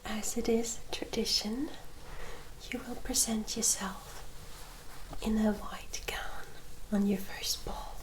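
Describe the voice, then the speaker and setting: A woman playing a gentle, courteous female vampire in a whispered ASMR roleplay, soft, formal and reassuring. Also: mid-range voice, close to an everyday voice, low-quality recording.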